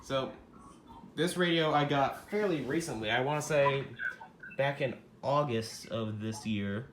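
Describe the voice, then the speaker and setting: Guy rambling about his radios, cusses a lot, yells at himself sometimes, echoey noisy room. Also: mid-range voice, a little slow. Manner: rambling, self-deprecating, swears freely, bursts into shouting. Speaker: video host showing his radio collection. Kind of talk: casual show-and-tell vlog. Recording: echoey room with background noise.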